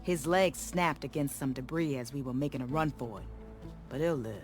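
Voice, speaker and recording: A man's voice: mid-range voice, moderate pace, soft background music, close to an everyday voice. An actor playing a stranded male villager, earnest and relieved after a rescue.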